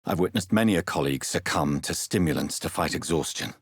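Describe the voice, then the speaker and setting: A man playing a grave, courteous, troubled gentleman doctor turned vampire in a video game, speaking formally and earnestly with a husky voice. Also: a bass voice, a little fast, no room sound, studio quality. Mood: composed, earnest. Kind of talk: dramatic video game dialogue.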